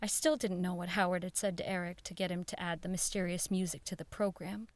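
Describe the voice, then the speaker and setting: A woman playing an uneasy radio producer who recalls eerie events in measured, dramatic audio drama narration. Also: lowish voice, steady tone, dry recording, natural voice. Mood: grave, reflective. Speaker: narrator and radio show director. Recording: violin music behind the voice.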